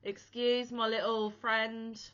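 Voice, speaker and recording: A woman's voice: on the high side, level tone, slow, fairly bright tone, smooth, highs cut off. Chatty, under-the-weather crochet vlogger woman. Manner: chatty, self-deprecating, rambling asides. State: unwell, chesty and a bit wheezy. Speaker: crochet channel host. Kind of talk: casual chat to camera.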